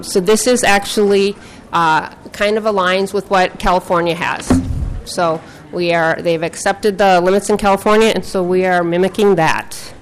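A woman senator formally presenting her bill to a legislative committee, reading from prepared notes in a measured way. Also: mid-range voice, a little hiss or hum, rough recording. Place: legislative committee hearing room.

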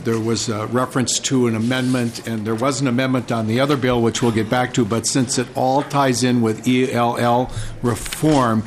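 A man's voice: medium pitch, flat delivery, normal speed, few pauses, slight background noise. A man chairing a legislative committee hearing, speaking in a polite, procedural way into a hearing-room microphone.

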